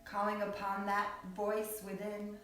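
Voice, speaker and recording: A woman guiding a yoga nidra meditation in a soothing, unhurried way, with long pauses between short phrases. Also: medium pitch, completely flat delivery, a bit bright. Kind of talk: guided meditation. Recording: echoey, distant mic with background music.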